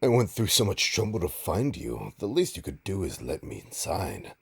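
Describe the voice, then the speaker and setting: A man playing a suave, smug supervillain in an audio roleplay, teasing his nemesis with a pompous, mock-polite drawl and dramatic pauses. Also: deep voice, sing-song, full character voice. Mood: taunting, amused. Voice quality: gravelly, husky. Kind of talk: audio roleplay monologue addressed to listener.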